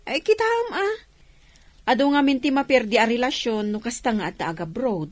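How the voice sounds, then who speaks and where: A woman's voice: on the high side, lively intonation, moderate pace, no room sound, natural voice. An actress playing a nosy, frank older village woman in a radio drama, with an Ilocano accent. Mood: frank, musing. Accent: Filipino Ilocano.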